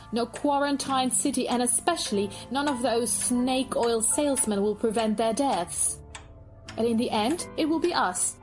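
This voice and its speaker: A woman's voice: a little high, darkish tone. A woman playing a scornful, cynical president's wife in an audio drama, haughty and mocking. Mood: cynical, resigned.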